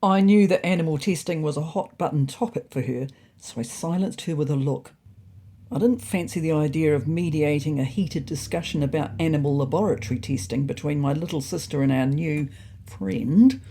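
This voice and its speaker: A woman's voice: low voice, normal speed, mellow. A woman narrating a scripted first-person story in a wry, dry manner.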